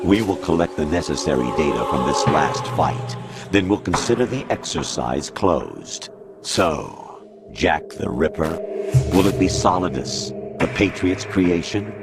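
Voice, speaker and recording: An actor playing a cold, calculating artificial intelligence posing as a commander in a video game, speaking in a smug, measured, condescending way. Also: male voice, very deep voice, vocal fry, full character voice. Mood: menacing, mocking. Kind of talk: dramatic video game voice acting. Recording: loud music behind the voice. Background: loud music.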